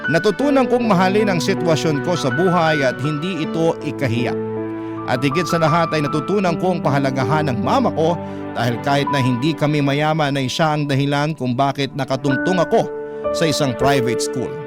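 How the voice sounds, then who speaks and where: A Filipino man narrates a heartfelt radio letter. His voice sits slightly high and his delivery is earnest and sentimental. Loud instrumental music plays beneath him throughout. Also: brisk. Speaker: radio story narrator reading a listener's letter. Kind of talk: emotional scripted first-person narration. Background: prominent instrumental music.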